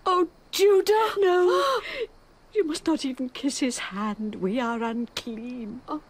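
An actress playing an anguished outcast mother in a radio drama, loving yet resolute, with hushed urgency that breaks into anguish. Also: high-pitched, animated, slow pace, a little hiss or hum. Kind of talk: emotional radio drama acting.

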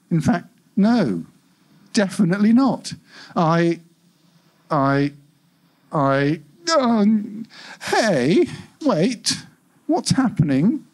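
Give me an exasperated household AI from a near-future story, performed by a man reading aloud. It is self-important and fretful, complaining with indignant emphasis. The voice is husky and swoops widely in pitch. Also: darkish tone, full character voice. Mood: panicked. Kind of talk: live short-story reading.

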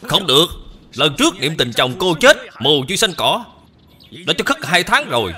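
Cartoon villain: imperious, bullying rich debt collector, very forceful bright voice, swinging intonation. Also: male voice, a little high, very animated, moderate pace. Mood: stern, threatening. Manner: imperious, barking demands. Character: stout, haughty, bullying male debt collector landlord. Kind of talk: animated film dubbing.

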